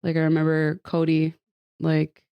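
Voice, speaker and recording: A woman's voice: sits low, monotone, unhurried, clean tone, highs cut off. A woman hosting a community podcast, chatty and upbeat, slightly nasal from a cold. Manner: chatty, upbeat, with frequent fillers. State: recovering from a cold, a little nasal. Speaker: podcast host. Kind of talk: casual community podcast chat. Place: indoors at a table with guests.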